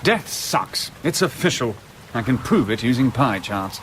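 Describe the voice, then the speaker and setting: An English actor playing a petty, chatty, deadpan Grim Reaper in a radio comedy. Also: male voice, medium pitch, measured pace, slight background noise.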